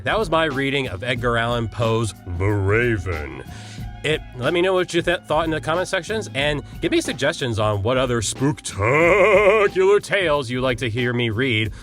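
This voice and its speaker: A man's voice: medium pitch, fairly bright tone. A man playfully addressing his viewers as a video creator, with hammy, jokey showmanship. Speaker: video creator and amateur narrator. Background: music.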